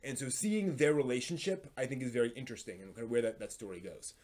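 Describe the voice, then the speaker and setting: Man, live stream host, casual recommendations, halting delivery, thinking aloud, mid-pitched voice. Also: darkish tone. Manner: halting, thinks aloud, hums filler syllables while searching. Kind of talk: casual live chat, giving anime recommendations.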